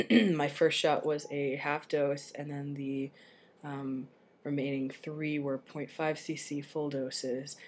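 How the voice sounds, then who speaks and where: A young adult man with a voice slightly deepened early in transition, talking casually and candidly in a personal vlog, with some swearing. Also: level tone, takes it slowly. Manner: candid, self-deprecating, sweary. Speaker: video blogger. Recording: noisy home recording.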